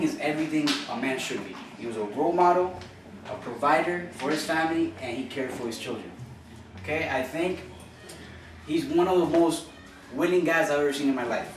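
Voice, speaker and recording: A young man from Cuba giving an earnest, informal classroom speech as a college student, often repeating and restarting phrases. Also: on the high side. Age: young adult. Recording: echoey room from far off with noise.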